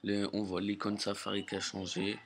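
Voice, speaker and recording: A man giving a casual, halting demonstration in plain words, as an amateur video presenter. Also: fairly low pitch, monotone, creaky. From Portugal or Portuguese family. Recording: faint background noise, amateur recording.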